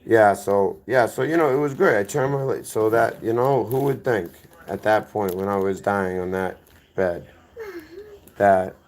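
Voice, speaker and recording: Deep-voiced man with a Boston accent. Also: a little slow, a little mellow. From inner-city Boston. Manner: rambling, drifting between tangents and correcting himself. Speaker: guest. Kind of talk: casual storytelling.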